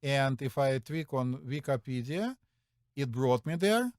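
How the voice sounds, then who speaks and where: A man's voice: mid-range voice, expressive, measured pace, dry recording, studio quality. A man with a Russian accent hosting a casual chat, rambling and hesitant with many fillers. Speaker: podcast host.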